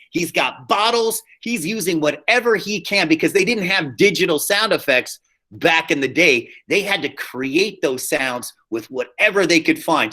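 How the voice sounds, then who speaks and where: Guy teacher walking students thru slides online, bright forward voice, chatty and kinda repeats himself. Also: fairly high pitch. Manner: conversational and explanatory, often repeats himself. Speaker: high school theater teacher. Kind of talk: online class lecture with slides. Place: live online class over video call.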